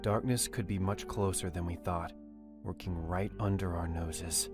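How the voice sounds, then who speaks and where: A man playing a serious, measured young leader in a fantasy game fan dub, speaking gravely and deliberately. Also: deep voice, steady tone, over music.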